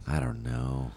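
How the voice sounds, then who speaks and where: A man's voice: a bass voice, warm tone, breathy edge, dry recording. A young man co-hosting a casual podcast over drinks, laid-back and often laughing. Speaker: podcast co-host. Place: indoor room.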